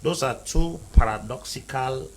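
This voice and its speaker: A man's voice: medium pitch, a little slow, slight background noise. A man from Cameroon arguing emphatically as a panel guest in a heated debate, repeating and driving home his points. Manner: emphatic, repetitive, lecturing.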